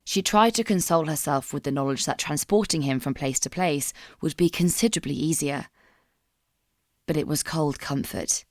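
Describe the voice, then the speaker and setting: A woman narrating a dramatic story from a script in a somber, measured manner. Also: low voice, no room sound, studio quality.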